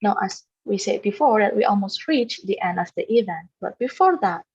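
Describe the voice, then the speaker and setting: A woman's voice: medium pitch, animated, fairly quick, darkish tone, breathy edge, lo-fi sound. A woman acting as master of ceremonies, reading formal, polite announcements. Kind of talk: scripted webinar emcee remarks.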